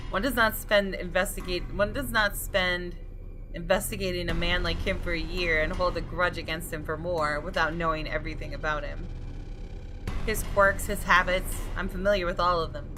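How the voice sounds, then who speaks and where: A woman gaming YouTuber reading a visual novel aloud as dramatic narration. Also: on the low side. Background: music.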